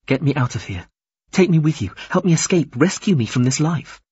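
A man voicing a bitter, defiant young woman, insistent and sharp, in audiobook dialogue. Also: a little high, lively intonation, a little fast, slightly rough, dry recording, muffled recording, pitched above the performer's own voice. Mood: pleading.

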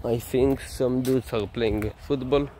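A man's voice: medium pitch, steady tone, slow, warm tone, a little hiss or hum, poor audio. A man with an Israeli accent vlogging casually while walking outdoors, rambling and slangy, filming on a phone. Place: outdoors on city streets.

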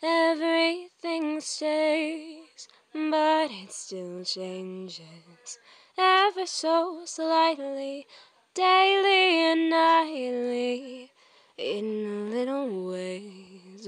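High-voiced woman singing a slow lullaby. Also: no room sound, faint music behind.

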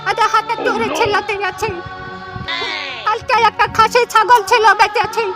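A woman's voice: very high voice, level tone, moderate pace. A woman playing an aggrieved, grumbling old grandmother with a rural Bengali accent, scolding and complaining in an amateur village comedy skit. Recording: loud music over the dialogue.

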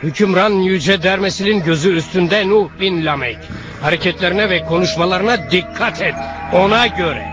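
A man's voice: high voice, moderate pace, over music, close to an everyday voice. An actor playing a ruler's stern, threatening henchman in a cartoon. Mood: menacing warning. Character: menacing henchman of a mighty ruler.

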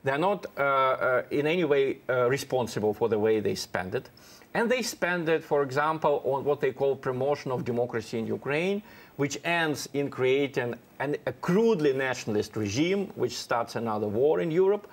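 A man's voice: mid-range voice, expressive, normal speed. A man speaking as a guest commentator on a political panel in a TV studio.

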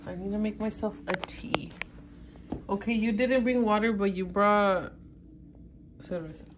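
A woman's voice: medium pitch, unhurried, background noise. A young woman in her twenties vlogging in a hotel, chatting casually, swearing and laughing, dropping in Spanish phrases. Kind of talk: casual chat. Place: hotel room and hallways, indoors. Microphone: handheld phone camera.

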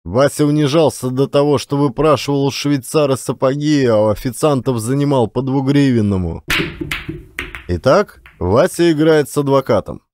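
A man narrating a literary story as an expressive audiobook performer. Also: mid-range voice, moderate pace, no echo.

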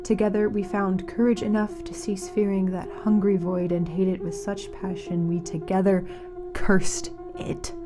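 A woman playing a grave, mournful radio announcer in a fiction podcast, speaking solemnly and dramatically with weighted pauses. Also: lowish voice, lightly acted. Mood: dark, intense. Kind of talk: scripted fiction-podcast narration as a community radio broadcast.

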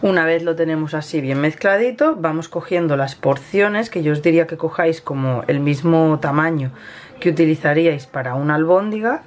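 A woman's voice: sits low, brisk. A woman with an accent from Spain gives a casual, chatty cooking tutorial, explaining each step informally as host. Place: home kitchen, indoors. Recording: kitchen noise, frying and stirring sounds.

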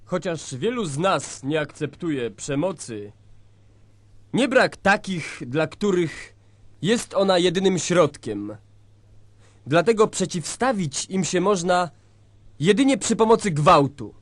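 A man's voice: sits high, very animated, slow pace, dry recording. A man giving a solemn, declamatory recitation, as in the prologue of a drama.

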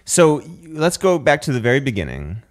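A man's voice: medium pitch, huge pitch swings, fairly quick. Casual male podcast host asking questions. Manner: curious, prompting questions, casual. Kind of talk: conversational interview.

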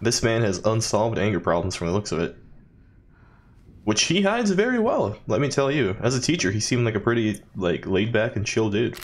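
A man's voice: on the low side, sing-song, normal speed. A young man giving casual, sarcastic commentary while playing a video game. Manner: sarcastic, dry quips. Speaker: let's player. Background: game audio and dialogue.

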